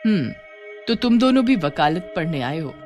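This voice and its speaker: A woman voicing a kind, eager female law teacher in an animated story, with reassuring cheer. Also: fairly low pitch, normal speed, lo-fi sound, faint music behind. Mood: welcoming, reassuring.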